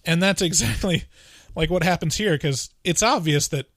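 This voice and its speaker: A man with a slightly high voice talks through a film in easygoing podcast chat, leaning on "like" and "you know" and laughing between points. The sound is dry and close, with no room around it. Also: normal speed, a bit bright, dry recording. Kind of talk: casual movie review chat.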